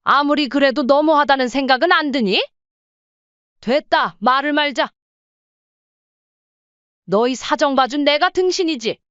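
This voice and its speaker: A woman acts out an aggrieved elderly mother in an audio drama. Her voice is high and close and dry, and she scolds with bitter reproach. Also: a little slow, long pauses, a bit bright, no echo, highs cut off. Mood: hurt, indignant. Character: hurt, angry elderly Korean mother and mother-in-law. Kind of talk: audio story drama acting.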